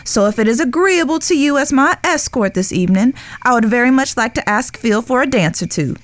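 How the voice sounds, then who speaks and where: Genteel, high-voiced frontier lady played by a woman. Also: expressive, brisk, lightly acted. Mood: playful. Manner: genteel, formal, gently teasing. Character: poised, insistent, playful Old West lady. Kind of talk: western audio drama acting. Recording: music under the voice.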